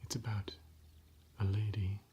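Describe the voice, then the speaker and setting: A man softly whispering as a gentle scholar of ancient elvish lore, in an ASMR roleplay. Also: lowish voice, level tone, unhurried, muffled recording, a little hiss or hum, lightly acted. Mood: hushed. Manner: soft whispering. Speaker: ASMR performer.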